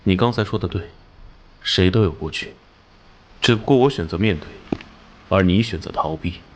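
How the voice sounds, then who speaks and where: An actor voicing a hot-tempered, haunted police captain and former soldier in an animated drama, blunt and earnest. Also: male voice, low-pitched, moderate pace, a little hiss or hum, close to an everyday voice. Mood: earnest, firm. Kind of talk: Chinese animation dubbing.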